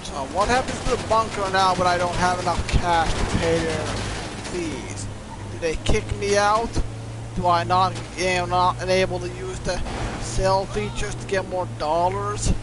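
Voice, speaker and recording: A man with a notably high voice rambles and rants over his gameplay, cursing offhandedly. Loud game noise and engine and water sounds sit under his speech, picked up on a desktop mic. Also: steady tone, unhurried. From Finland. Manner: ranting, swears offhandedly. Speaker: streamer. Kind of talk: casual gameplay stream commentary.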